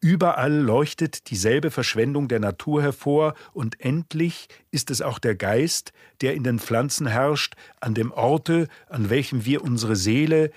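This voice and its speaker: A man in a radio play reciting an old natural-philosophy treatise in a solemn, measured, formal way. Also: medium pitch, slightly rough, no echo, studio quality. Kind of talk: scripted literary reading in a radio drama.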